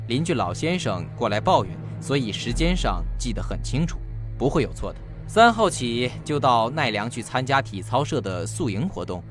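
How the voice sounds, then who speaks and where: A man's voice: medium pitch, fairly bright tone. An actor playing a sly, fawning henchman and shady informant, glib and wheedling, in an audio drama. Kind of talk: Chinese audio drama acting.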